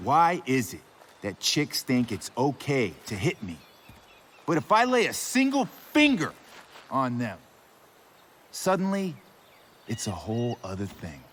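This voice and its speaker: Game villain: volatile drug dealer, taunting and sarcastic, animated pitch, unhurried menace. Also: male voice, heavily acted. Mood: aggrieved, threatening. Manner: taunting, drawn-out, sarcastic delivery.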